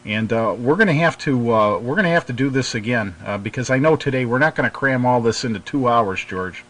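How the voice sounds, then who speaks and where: An American man hosting a casual hobby radio chat, speaking in a hesitant, halting way. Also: mid-range voice, animated, fairly bright tone. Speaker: radio show host.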